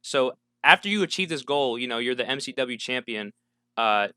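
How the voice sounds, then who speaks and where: A man's voice: medium pitch, lively intonation, moderate pace, sharp tone, clear, resonant tone, no echo, clean studio sound. A man co-hosting a casual interview podcast, asking long, meandering questions. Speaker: podcast co-host.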